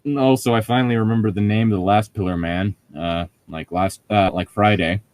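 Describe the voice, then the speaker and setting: A man's voice: on the low side, steady tone, fairly quick, darkish tone. A young man chatting casually and reading aloud, with sarcastic, exasperated, swear-heavy commentary. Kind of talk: casual chat with mocking read-aloud commentary.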